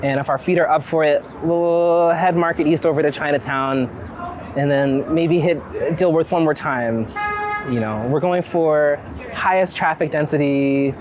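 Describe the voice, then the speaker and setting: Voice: mid-range voice, measured pace. An American from Philadelphia chatting casually in a wry, rambling, thoughtful way while out on a walk. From Philadelphia, United States. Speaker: street photographer being interviewed. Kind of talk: casual chat, walking interview. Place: outdoors on city streets. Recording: outdoor city street noise, traffic. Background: street ambience, passing vehicles.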